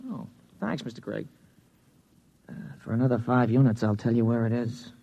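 Young bellhop hustler: gravelly, slangy, furtive, on a dull old science fiction radio recording. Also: male voice, medium pitch, fairly even intonation, moderate pace, dark tone. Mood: sly, chummy. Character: young hotel bellhop, sly small-time hustler.

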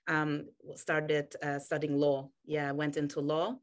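A woman's voice: sits low, level tone, a little slow. A woman raised in Austria whose first language is German, chatting as a podcast guest about her life, laughing often. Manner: warm storytelling with frequent laughs. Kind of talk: casual interview chat.

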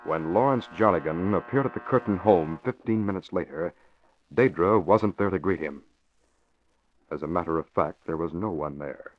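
A man with a very deep voice narrates a radio drama in a measured, dramatic way. He is heard through a muffled, dull old recording. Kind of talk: scripted narration.

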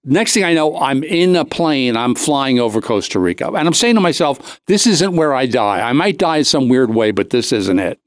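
A man's voice: medium pitch, expressive, normal speed, hardly any pauses, dry recording, clean studio sound. A man hosting a talk show, telling a comic anecdote in a casual, rambling way, cutting himself off and acting out the dialogue. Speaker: show host.

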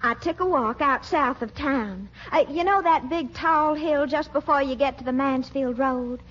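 A woman's voice: high voice, moderate pace, a bit bright. A woman playing a flirtatious, sweet-talking lady who gushes coquettishly in a 1940s radio comedy. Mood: dreamy, inviting. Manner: gushing, coquettish. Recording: dull, noisy old radio recording.

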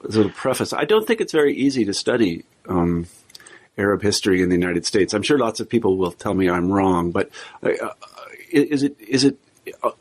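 A man's voice: fairly low pitch, expressive, a little fast. A middle-aged man hosting an interview podcast, speaking with many fillers and restarts. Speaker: podcast host.

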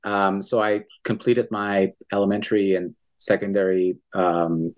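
Lowish-voiced scholarly man from Iran. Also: level tone, measured pace, a little mellow. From Iran, raised in Tehran until fifteen, then Canada. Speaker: academic guest, international studies professor. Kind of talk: explanatory interview talk.

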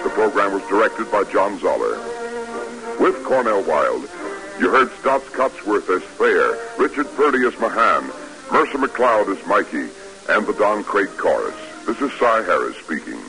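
An American man announcing on a 1950s radio program in a formal, measured, scripted delivery. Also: fairly low pitch, very animated, vocal fry, bright, forceful tone, soft background music, rough recording. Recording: old radio broadcast recording.

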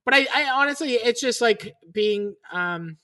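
A man with a scratchy, boyish voice, chatting excitedly as a chef with lots of fillers. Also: extremely high pitch, measured pace. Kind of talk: casual chat.

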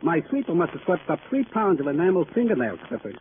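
Fast-talking, gushing fight promoter. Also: male voice, a little high, big pitch swings. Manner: effusive, slangy, wisecracking. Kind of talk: old-time radio crime drama acting. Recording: tinny, noisy old radio recording.

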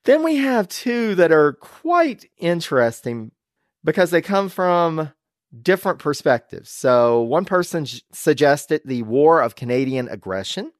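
A man's voice: a little high, big pitch swings, unhurried, no room sound. A man hosting a history podcast in casual chat, upbeat and laughing often, on a close microphone. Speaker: podcast host.

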